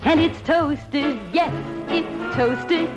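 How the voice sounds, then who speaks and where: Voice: high voice, extremely slow, dull audio. Singers performing an advertising jingle in an old radio commercial style. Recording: old radio recording with music.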